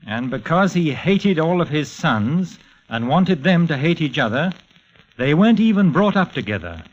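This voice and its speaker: An actor playing a formal, secretive government agent in a 1940s radio drama, explaining things in a businesslike way. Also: male voice, a little high, big pitch swings, normal speed. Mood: businesslike, grave. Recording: dull, muffled old radio recording.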